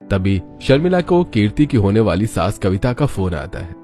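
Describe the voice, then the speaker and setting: A man's voice: on the low side, big pitch swings, moderate pace, dark tone, faint music behind. A man narrating a scripted cartoon story as its storyteller.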